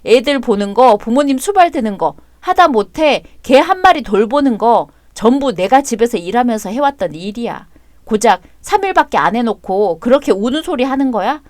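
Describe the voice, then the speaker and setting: A woman voicing a calm, sharp-tongued mother who answers bluntly and mockingly. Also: fairly high pitch, moderate pace, no echo, studio quality, lightly acted.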